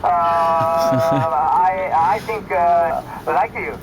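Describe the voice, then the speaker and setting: A man's voice: a little high, extremely slow, a loud crowd. A Japanese man speaking hesitant, non-fluent English with a Japanese accent, as an amateur radio operator on air. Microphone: heard through a radio receiver. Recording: noisy ham radio transmission.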